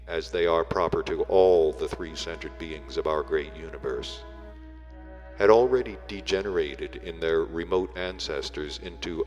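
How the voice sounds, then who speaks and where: Deep-voiced man reading solemnly in long reverb. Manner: measured, deliberate, broken into weighty phrases. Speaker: audiobook reader. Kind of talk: scripted narration, solemn book reading. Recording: long reverb, music behind the voice. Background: music.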